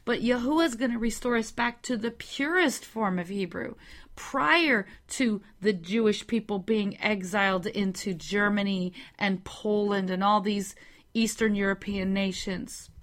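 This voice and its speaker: Slow, deliberate woman teaching scripture. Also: medium pitch. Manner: measured, emphatic, spells out word meanings. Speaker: Bible teacher.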